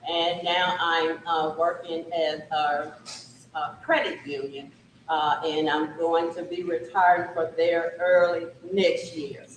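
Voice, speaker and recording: A woman's voice: fairly low pitch, little pitch movement, slow, a little hiss or hum. Woman, 60s, from Mississippi, plain and hesitant, roomy echo. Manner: plain, hesitant with many fillers. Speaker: interview guest. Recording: echoey room.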